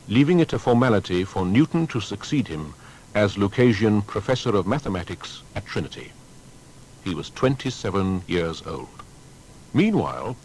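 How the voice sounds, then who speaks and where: A man narrating a scripted historical biography in a formal storytelling manner with deliberate emphasis. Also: fairly low pitch, a little hiss or hum, poor audio.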